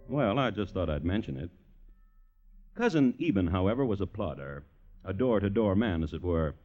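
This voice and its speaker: An actor playing a wry, folksy narrator in an old radio drama, with a lyrical, theatrical delivery. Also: male voice, lowish voice, a little mellow. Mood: droll. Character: wry, folksy male storyteller of a dark tale. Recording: muffled old radio recording, music underneath.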